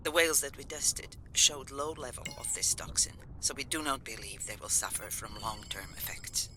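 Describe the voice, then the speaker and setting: A man playing an earnest marine research scientist in a video game, giving a measured, explanatory scripted report. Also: medium pitch, expressive, over music, close to an everyday voice. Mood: serious, informative.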